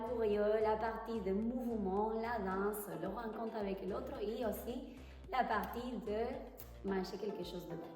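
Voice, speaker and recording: A woman from Argentina with an Argentine Spanish accent and Spanish as her first language, answering interview questions hesitantly and pausing to find her words. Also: on the high side, darkish tone. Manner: hesitant, pauses to search for French words. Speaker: tango teacher, interview guest. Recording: echoey hall, far from the mic.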